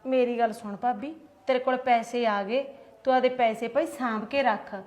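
A woman's voice: fairly high pitch, steady tone, moderate pace, darkish tone, lightly acted. A woman, acting an aggrieved sister-in-law who complains and taunts with rhetorical questions in a family skit. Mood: sulky. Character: aggrieved Punjabi aunt, taunting and sulky.

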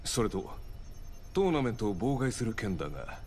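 An actor playing a stern, challenging commander and fighter in a game cutscene, curt and authoritative. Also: male voice, lowish voice, very animated, normal speed, darkish tone, slight background noise. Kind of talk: Japanese game cutscene acting.

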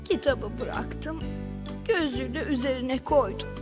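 A woman voicing a kindly, forgetful elderly grandmother in a children's cartoon, gentle and musing. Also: sits high, a little slow. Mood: musing, recounting. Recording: loud music behind.

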